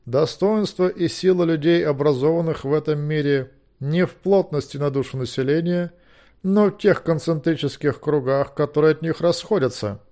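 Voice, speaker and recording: A man's voice: a little high, warm tone, pitched above the performer's own voice, lightly acted. Man playing a wry, oratorical schoolmaster. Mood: lofty, wry. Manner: slow, emphatic, oratorical. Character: wry, learned schoolmaster delivering lofty maxims.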